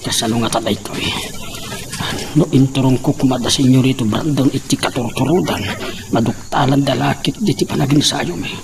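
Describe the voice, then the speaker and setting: A man acting a grumbling, plotting underling in Ilocano-accented drama, with a creaky voice and a noisy recording. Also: mid-range voice, brisk, natural voice. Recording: clearly noisy background, clicks.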